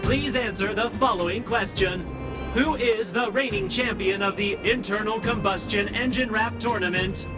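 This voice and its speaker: High-pitched officious robot played by a man. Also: normal speed, close to an everyday voice. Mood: impassive, procedural. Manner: formal, procedural, emotionless. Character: officious robot gatekeeper machine running certification tests. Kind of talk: scripted video game voice acting. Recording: music behind the voice. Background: game music.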